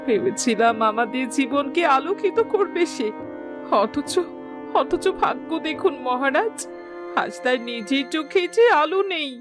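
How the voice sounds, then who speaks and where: A heartbroken cartoon queen played by a woman pleads in formal, melodramatic speech. Her voice is somewhat high and plaintive, and music plays clearly underneath. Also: animated, unhurried. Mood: anguished. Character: anguished fairy-tale queen, pleading, heartbroken mother. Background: background music.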